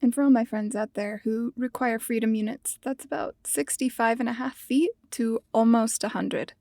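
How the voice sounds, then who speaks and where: A woman's voice: fairly high pitch, normal speed, gravelly, warm tone. A woman hosting a podcast who drops in playful asides. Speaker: podcast host. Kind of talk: casual podcast chat.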